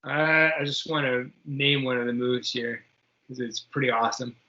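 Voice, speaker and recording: A man's voice: mid-range voice, fairly even intonation, normal speed, bright, forceful tone, highs cut off. A man running a tabletop game as game master, casually prompting his players with questions.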